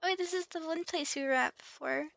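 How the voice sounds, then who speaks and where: A woman's voice: extremely high pitch, quick pace, creaky, fairly bright tone, no echo. A woman livestreaming a game in casual chat, playful and giggly as she comments and reads out dialogue. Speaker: livestream host playing an online game.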